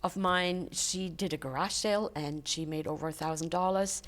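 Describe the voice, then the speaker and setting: A woman's voice: on the low side, fairly even intonation. An older woman whose first language is German, chatting in an interview with frequent fillers and restarts. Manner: warm, halting, many fillers and restarts. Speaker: guest, charity volunteer. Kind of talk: casual interview chat. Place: local TV studio.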